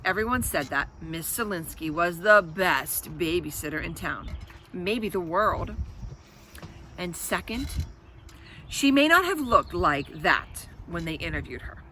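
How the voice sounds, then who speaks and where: Bright-voiced woman reading a storybook aloud. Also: fairly low pitch, a little hiss or hum. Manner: expressive, explanatory, addresses children directly. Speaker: read-aloud host for children. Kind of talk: storybook read-aloud with asides to young listeners.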